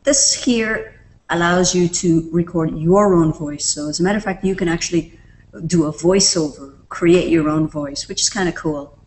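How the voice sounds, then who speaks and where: A woman presenting a screen-recorded software tutorial, explaining each step in a relaxed way with fillers. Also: fairly low pitch, normal speed, a little hiss or hum, rough recording. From Canada.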